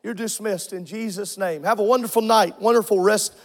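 A male pastor preaching and praying with fervour, repeating phrases, out of breath and swinging from shouts to low murmurs. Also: a little fast. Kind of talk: Pentecostal preaching and prayer. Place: church sanctuary with congregation.

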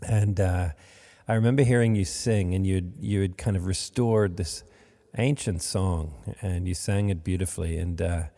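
A man hosting a podcast interview, speaking haltingly with many fillers, recorded in a monastery room. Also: low-pitched, mellow, big-room sound. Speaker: podcast host.

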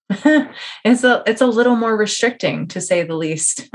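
A woman's voice: fairly high pitch, expressive, a little slow. An American woman hosting a casual, chatty interview in an informal, effusive way. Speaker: podcast host.

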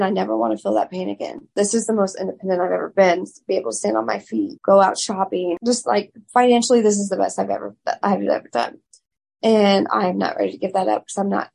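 Gravelly, husky-voiced woman, frank and loud. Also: mid-range voice. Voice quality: raspy. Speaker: interview guest. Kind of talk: candid personal interview.

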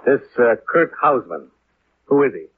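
An actor playing a wry, hard-boiled American insurance investigator in a 1950s radio drama, speaking in terse, clipped lines. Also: male voice, medium pitch, very animated, normal speed, husky. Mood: businesslike. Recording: narrow, noisy 1950s radio recording.

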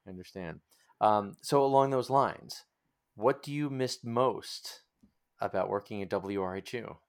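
A man's voice: fairly low pitch, measured pace. A man hosting a casual interview, speaking sympathetically and feeling his way thoughtfully through his phrasing. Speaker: interviewer, podcast host.

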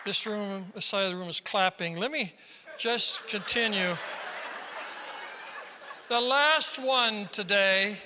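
A man from South Dakota preaching a sermon as pastor, with a squeaky tenor voice and emphatic delivery that climbs into sudden loud bursts. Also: slow pace, pauses often, crowd noise, noisy background. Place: large church hall. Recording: reverberant hall.

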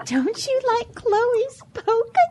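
A very high, swooping voice for a vain female hen in a comic audio drama. She is theatrical and chatty, stretching her words at an unhurried pace. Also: very animated, a little mellow, a little hiss or hum, exaggerated voice. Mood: pleased, chatty. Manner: theatrical, exclamatory.

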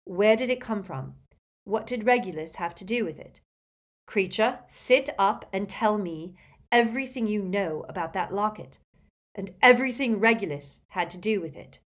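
A woman's voice: mid-range voice, moderate pace, voice pushed up, natural voice. Woman playing a fierce, commanding teenage boy. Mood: demanding. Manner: forceful, demanding, interrogating.

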